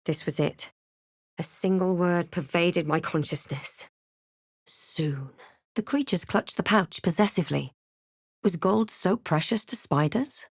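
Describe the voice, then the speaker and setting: A woman voicing a wary, composed adventurer heroine for a fantasy video game, with measured, dramatic delivery. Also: lowish voice, slightly rough, dry recording, studio quality. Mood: grave, composed.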